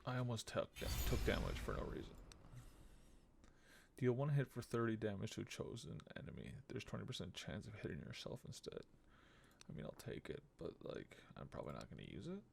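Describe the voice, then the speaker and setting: A man in his thirties giving casual let's-play commentary, low-key and muttering as he thinks aloud. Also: very animated, fairly quick, creaky, a little hiss or hum. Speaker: game streamer. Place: small room at home, indoors. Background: game music.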